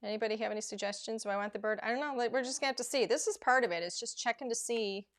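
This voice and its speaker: A woman hosting a live crafting demonstration, chatty and rambling with plenty of filler words, her voice going hoarse as she loses it. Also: on the high side, racing. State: losing her voice. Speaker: craft show host.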